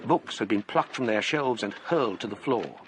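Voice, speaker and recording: An actor playing a literary gentleman narrating an eerie tale in a radio drama, with a measured, formal manner. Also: on the low side, animated, background noise, natural voice. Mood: suspenseful, grave. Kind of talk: scripted first-person radio drama narration.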